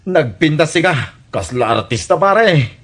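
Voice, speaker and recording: A man's voice: on the high side, lively intonation, measured pace, a bit bright. A man playing a boastful, defensive philandering husband in an Ilocano radio comedy drama, exclaiming and protesting dismissively. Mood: cocky.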